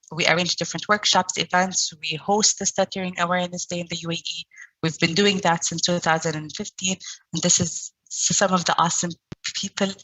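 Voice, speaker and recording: A middle-aged woman who stutters, giving an online presentation as founder of a stuttering support group, with pauses and repeated sounds. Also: fairly low pitch, bright, forceful tone, dry recording, rough recording.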